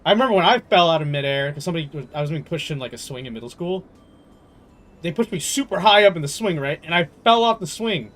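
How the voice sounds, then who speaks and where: A man livestreaming a game and chatting casually with viewers in a jokey, animated storytelling manner. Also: fairly high pitch, fast talker. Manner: animated storytelling, jokey, swearing, laughs often. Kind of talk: casual chat with viewers while gaming. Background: game music.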